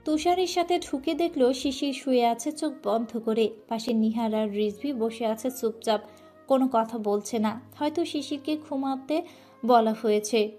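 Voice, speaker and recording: A woman's voice: fairly high pitch, normal speed, warm tone, soft background music. A woman narrating a romantic story in an emotive, dramatic reading, with occasional restarts.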